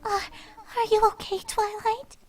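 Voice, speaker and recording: A woman's voice: extremely high pitch, level tone, slow, a little mellow. A woman voicing a timid, sweet, frightened pegasus pony, hesitant and anxious, in a dramatic fan fiction reading. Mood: nervous concern. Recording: music behind the voice.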